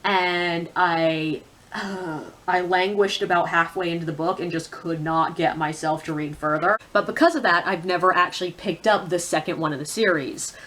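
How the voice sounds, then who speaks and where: A woman's voice: fairly low pitch, steady tone, normal speed, a bit bright. Casual, opinionated woman book vlogger. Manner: opinionated, informal, swears casually. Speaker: book reviewer. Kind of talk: casual chatty vlog monologue.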